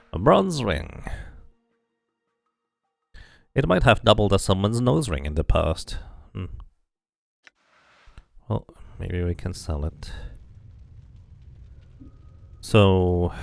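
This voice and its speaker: Male game streamer, low and gravelly, musing through a playthrough and reading text aloud over faint music. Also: no room sound. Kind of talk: casual game commentary mixed with read-aloud item text.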